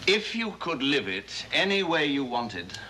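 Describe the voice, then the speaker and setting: An actor playing a calm, persuasive psychiatrist who speaks in a measured, soothing way in a 1970s TV movie. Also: male voice, mid-range voice. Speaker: doctor. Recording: hissy old TV soundtrack.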